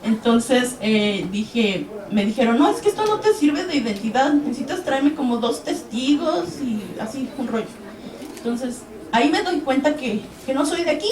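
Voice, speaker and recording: A woman's voice: sits high, lively intonation, moderate pace, a crowd in the background. A young woman from Mexico giving an earnest personal account on a panel, acting out quoted exchanges as she tells her story. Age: young adult. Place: event hall. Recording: echoey hall, distant mic.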